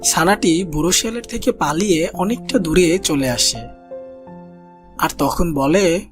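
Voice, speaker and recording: Storybook narrator over clear music. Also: mid-range voice, a little slow. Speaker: narrator of a children's cartoon channel. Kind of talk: scripted storybook narration for children. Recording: music bed behind narration.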